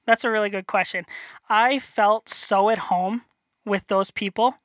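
A young man from North Dakota with a high voice, chatting as an interview guest, rambling and self-deprecating with many restarts. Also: fairly even intonation, unhurried, fairly bright tone, dry recording, clean studio sound. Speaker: illustrator guest. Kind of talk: casual interview chat.

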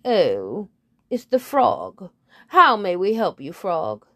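A woman voicing a snobbish, haughty head bird with a pompous, drawn-out way of speaking. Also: mid-range voice, wildly swooping pitch, sharp tone, heavily acted.

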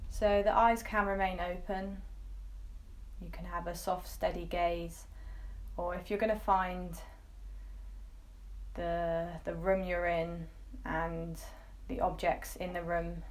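Slow, even-toned woman guiding meditation. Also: lowish voice. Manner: unhurried, gently coaxing phrasing with pauses. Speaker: meditation guide. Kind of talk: guided meditation, soft instruction.

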